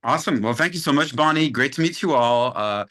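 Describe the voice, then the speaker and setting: Upbeat man with a bright voice. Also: mid-range voice, animated, a little fast. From Belgian American. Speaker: guest investor. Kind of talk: online meetup introduction. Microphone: video call.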